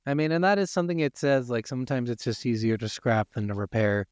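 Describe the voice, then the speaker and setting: A man's voice: mid-range voice, quick pace, clean tone, dry recording, studio quality. An American man co-hosting a podcast, talking casually and bluntly about video games. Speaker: podcast co-host. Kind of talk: casual gaming chat.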